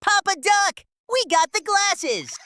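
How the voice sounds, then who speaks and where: A woman voicing an eager little cartoon duckling in a squeaky, childlike, excited manner. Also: slow, heavily acted.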